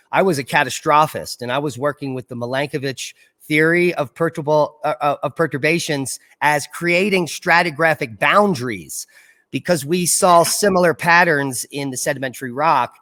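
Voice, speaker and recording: A man's voice: a little high, a bit bright. Man hosting in a very reverberant space. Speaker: interview host. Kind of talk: casual interview chat. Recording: long reverberant hall echo.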